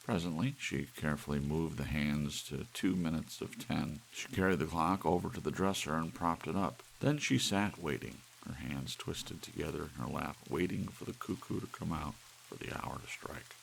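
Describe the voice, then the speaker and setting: A man narrating an audiobook in ASMR style, with soft rain behind him. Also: rumbling low, moderate pace, creaky, hardly any pauses, a little hiss or hum, low-quality recording.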